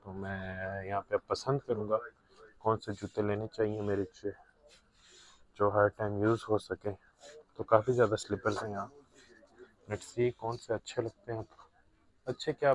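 A man's voice: fairly low pitch, no echo, rough recording. Chatty man vlogging in a store. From Pakistan. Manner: chatty, mixes in English words, talks to viewers as brothers. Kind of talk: casual shopping vlog narration. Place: large indoor discount store. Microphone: phone held by the filming hand.

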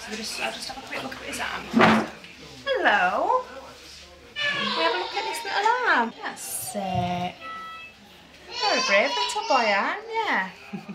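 A woman's voice: sits high, huge pitch swings, measured pace, long pauses, very noisy. A woman nurse with a Yorkshire accent, chatty and sing-song as she checks a toddler in a hospital cubicle.

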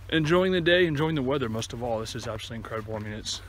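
A man in his thirties records a casual hiking vlog outdoors on a handheld camera. His mid-pitched voice rambles along haltingly as he walks, with clear ambient noise behind him. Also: lively intonation, fairly bright tone, low-quality recording. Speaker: hiking vlogger. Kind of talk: casual vlog chat to camera while walking. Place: outdoors on a wooded trail and open meadow. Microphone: phone or camera at arm's length.

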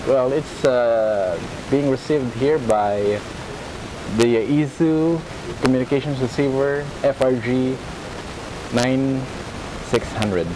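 Slow, hesitant man demonstrating a gadget. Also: mid-range voice, background noise. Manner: hesitant, many filler pauses. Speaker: electronics hobbyist. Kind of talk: casual hands-on demonstration. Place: home room indoors.

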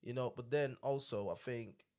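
A man's voice: medium pitch, normal speed, dark tone. A man, hosting a casual studio chat in an emphatic, slangy way, often repeating himself. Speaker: podcast host. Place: small podcast studio. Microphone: podcast microphone on boom arm.

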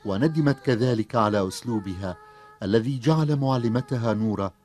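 A man's voice: mid-range voice, brisk, a little mellow. A male narrator tells a scripted story for a children's cartoon. His voice sits in an average male range.

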